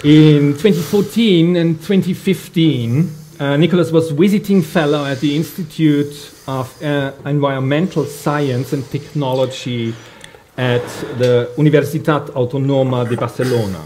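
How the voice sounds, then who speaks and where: Male panel chair, warm relaxed tone, formal introductions delivered haltingly and deliberately. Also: a little high, slight background noise. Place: public panel event in a library.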